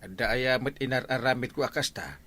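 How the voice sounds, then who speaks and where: A man's voice: mid-range voice, a little fast, sharp tone, dry recording. A man with an Ilocano accent playing a defensive, forgetful henpecked husband in a village comedy drama.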